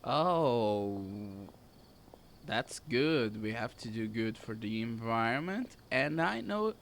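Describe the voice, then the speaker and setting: A man's voice: mid-range voice, animated, slow, a little hiss or hum. A man giving casual, playful let's-play commentary on a video game, often repeating himself. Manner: repetitive, playful. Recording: game music behind the voice.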